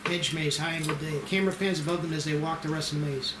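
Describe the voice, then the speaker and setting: A man's voice: fairly high pitch, steady tone, fast talker. A man narrating scene directions aloud at an amateur screenplay table read. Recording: roomy echo with clear noise.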